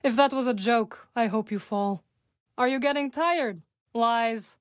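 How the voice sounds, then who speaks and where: A woman voicing a calm, dry-witted young witch companion in a video game, speaking in measured, formal lines recorded close on a studio mic. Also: a little high, no room sound, lightly acted.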